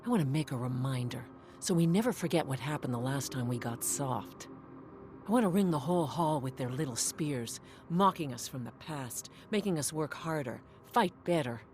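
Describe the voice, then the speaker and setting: A woman's voice: extremely low pitch, expressive, normal speed, a little mellow. Driven warrior villager in a video game, earnest and intent, music going behind her. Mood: earnest, scheming. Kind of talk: video game character dialogue.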